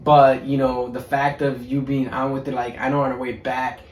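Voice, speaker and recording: A man's voice: medium pitch, little pitch movement, normal speed, distant mic. A man in his thirties co-hosting a podcast, chatting casually and jokingly, often restarting his sentences. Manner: laid-back, joking, rambling with repeated restarts. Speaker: podcast co-host. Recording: echoey room, voice a bit off-mic with faint background hum.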